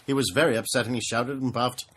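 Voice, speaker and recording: A man reading a rhyming storybook aloud with expressive narration. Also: medium pitch, fast talker. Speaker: storybook reader.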